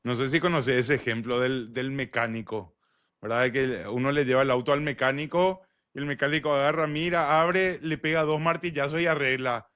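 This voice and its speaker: A man's voice: mid-range voice, steady tone, moderate pace, a bit bright, clear, resonant tone. A man hosting a conversational interview. He argues his points and keeps checking for agreement with tag questions. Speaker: podcast host.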